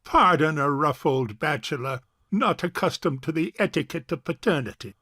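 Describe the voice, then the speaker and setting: A man's voice: a little high, lively intonation, normal speed, sharp tone, breathy edge, no echo, clean studio sound, full character voice. An actor playing a suave, sarcastic bachelor uncle in his forties in an audio farce, speaking in a pompous, formal way. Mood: courtly. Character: suave, sarcastic forty-two-year-old rich bachelor uncle. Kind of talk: stage farce audio drama acting.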